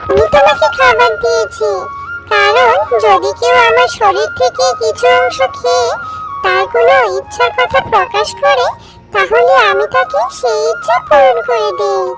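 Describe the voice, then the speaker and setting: A woman's voice: very high voice, normal speed, over music, poor audio. A woman voicing a kindly magical jackfruit that grants wishes in a children's cartoon, with a reassuring manner. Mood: benevolent.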